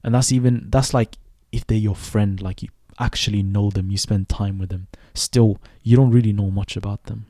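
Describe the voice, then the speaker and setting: A young man in his twenties who grew up in Sweden, hosting a reflective solo podcast and speaking thoughtfully, with pauses and self-corrections. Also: fairly low pitch, fairly even intonation, mellow, no echo, studio quality. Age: 20s. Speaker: podcast host.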